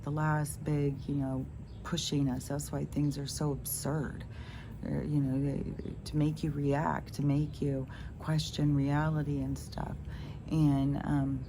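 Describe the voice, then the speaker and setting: A middle-aged woman with a stopped-up nose talks in a low, gravelly voice, drifting from thought to thought with fillers and restarts. She is recorded outdoors on a phone. The recording carries a clear hiss of outdoor noise behind her. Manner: meandering, many fillers and restarts. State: stuffed-up nose. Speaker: spiritual vlogger. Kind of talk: rambling casual monologue.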